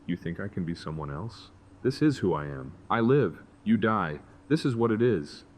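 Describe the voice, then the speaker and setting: An actor playing a blustering villainous gang boss, cornered and desperate, in an audio drama. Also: male voice, fairly low pitch, very animated, normal speed, slight background noise. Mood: menacing. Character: desperate, blustering male villain henchman leader, cornered and pleading.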